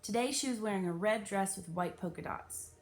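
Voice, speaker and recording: A woman narrating a children's novel aloud in an expressive, animated and emphatic storytelling style. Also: medium pitch, a little fast, slight background noise.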